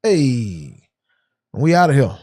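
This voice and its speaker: Lively, laid-back podcast host. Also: male voice, mid-range voice, takes it slowly. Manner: laid-back, short interjections. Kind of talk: casual chat.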